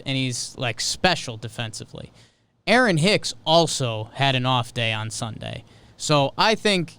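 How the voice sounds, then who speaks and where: Man, very crisp clear voice, sports podcast co-host, casual, animated, opinionated. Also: fairly high pitch.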